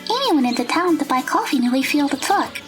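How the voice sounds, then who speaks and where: A woman's voice: high voice, sing-song, fast, music in the background. A woman playing a friendly, eager teenage girl with a bubbly manner in an audio drama.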